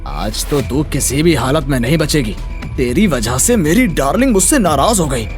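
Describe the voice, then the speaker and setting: A man's voice: fairly high pitch, expressive, normal speed, soft background music, lightly acted. An actor playing a thuggish, boastful and menacing village villain, devoted to his lover, in a dramatized moral story.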